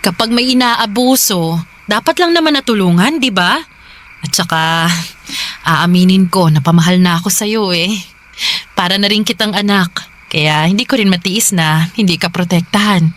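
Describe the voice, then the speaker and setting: A woman playing a warm, protective motherly figure in a radio drama, affectionate and gently scolding. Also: medium pitch, moderate pace, close to an everyday voice. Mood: tender. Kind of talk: Tagalog radio drama dialogue.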